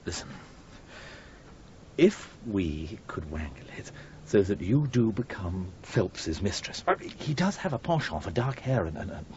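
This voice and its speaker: An actor playing a harassed, evasive publishing editor in a radio drama, stammering and exasperated. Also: male voice, lowish voice, big pitch swings, a little slow, darkish tone. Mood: exasperated, pleading. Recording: muffled, noisy 1980s radio recording.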